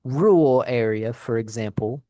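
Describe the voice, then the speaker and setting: A man's voice: mid-range voice, measured pace, dark tone, no echo. Male teacher-host, dry close sound, friendly questioner, lots of ums. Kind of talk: casual interview.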